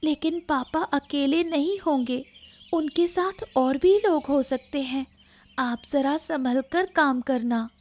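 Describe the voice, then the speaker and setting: A woman's voice: sits high, slow, darkish tone. A woman playing a concerned, sympathetic village girl in a Hindi cartoon story. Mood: worried.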